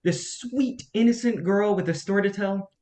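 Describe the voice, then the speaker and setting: A man's voice: high-pitched, normal speed, darkish tone, natural voice. A man acting in a staged play reading as a film producer's young assistant, understated and sardonic. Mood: dry, needling.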